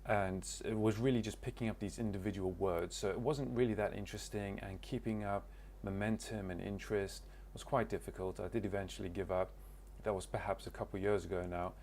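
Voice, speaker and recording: A man speaks in a fairly low voice, introducing himself to camera. He talks casually and hesitantly, restarting sentences as he searches for words. A faint background hum sits under the recording. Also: fairly even intonation, fairly quick, few pauses, a little mellow. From Cornwall, England. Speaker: language learner.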